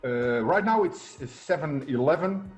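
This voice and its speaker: A man's voice: on the high side, expressive, normal speed, faint music behind. Middle-aged Dutch-accented man, interview guest. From Netherlands. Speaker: guest, success coach and entrepreneur. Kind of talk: conversational interview.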